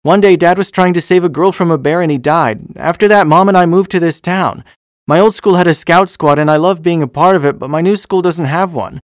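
Guy doing a shy sad little kid for a story, higher voice, timid n earnest, kinda pleading. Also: fairly quick, dry recording, studio quality, close to an everyday voice. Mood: earnest, wistful. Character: shy, hurt six-year-old schoolboy. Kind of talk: storybook character voice.

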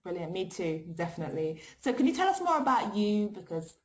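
A woman's voice: mid-range voice, very animated, brisk, a little hiss or hum. A woman hosting a podcast interview, upbeat and full of praise as she asks her questions. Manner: upbeat, warm praise for guest. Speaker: podcast host.

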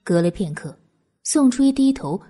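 A woman's voice: medium pitch, expressive, moderate pace, warm tone, husky, soft background music. A woman narrating a historical novel as an audiobook in a dramatic, scripted style.

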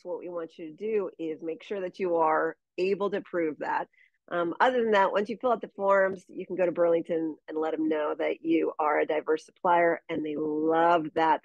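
A woman's voice: sits low, creaky, darkish tone, dry recording, muffled recording. A middle-aged American woman coaching viewers in a direct, explanatory instructional talk to camera. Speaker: retail sales coach.

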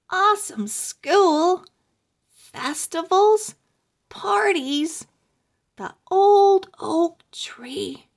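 A woman with a high, lively voice reads a children's story aloud. She goes extremely slowly, setting out each phrase deliberately so young readers can follow along. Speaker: host reading children's books aloud. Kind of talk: slow, expressive children's read-aloud.

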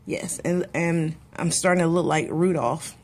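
A woman's voice: low-pitched, measured pace, darkish tone. A woman in her forties with a stuffy head cold, chatting to the camera in a casual daily vlog, filmed at arm's length. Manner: chatty, laughs easily, talks to camera. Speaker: vlogger. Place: office, car and home, indoors. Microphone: phone or webcam at arm's length.

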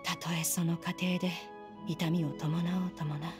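Game role: aloof female immortal, an actress in stern archaic register, imperious and measured, music beneath. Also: low-pitched, little pitch movement, natural voice. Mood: stern, composed. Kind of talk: Japanese video game voice acting.

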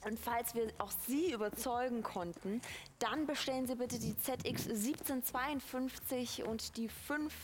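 A woman's voice: on the high side, unhurried. A woman presenting live teleshopping, talking in an enthusiastic, conversational way in a large hall. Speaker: shopping TV presenter. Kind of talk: live teleshopping sales talk.

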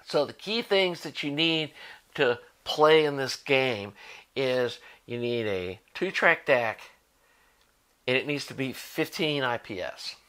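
A man's voice: medium pitch, lively intonation, slow, sharp tone, smooth. A middle-aged man with an American accent giving an unscripted, conversational explanation to camera as a channel host, thinking aloud as he goes. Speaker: host of an audio electronics channel. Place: indoor home workshop with shelves of gear.

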